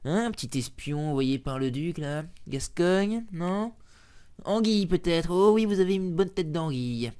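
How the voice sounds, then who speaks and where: A grizzled monk archivist in his fifties, suspicious of everyone, keeps his voice low and muttering, as a male storyteller puts him on. His pitch sits mid-range and his talk is full of needling asides and distrust. It comes across as a storybook villain of small power. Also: lively intonation, normal speed, warm tone, clean tone, close to an everyday voice.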